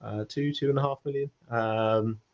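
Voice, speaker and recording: A man's voice: on the low side, steady tone, moderate pace, a little mellow, highs cut off. A man hosting a casual online Q&A, rambling and self-deprecating, often repeating his phrases. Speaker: host of an online community Q&A. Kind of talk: casual chat, reading out audience questions.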